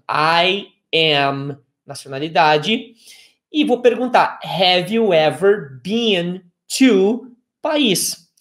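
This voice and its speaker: A man in his forties with a Brazilian accent, teaching informally as a language teacher, explaining step by step in a conversational way. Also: high-pitched. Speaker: English teacher. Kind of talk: informal video lesson.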